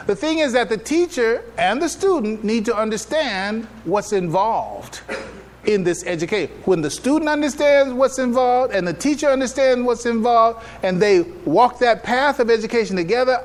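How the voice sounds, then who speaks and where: A male imam delivers an informal live lecture in a fairly high-pitched voice. He leans on repetition for emphasis and keeps checking that listeners follow. The recording carries clear background noise. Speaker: imam giving a public address.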